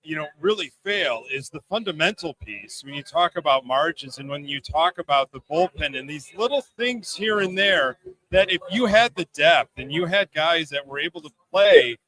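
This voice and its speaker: A man's voice: fairly high pitch, lively intonation, moderate pace, pushed and bright, rough recording. A man giving casual, emphatic sports commentary as a podcast guest, repeating himself to stress a point.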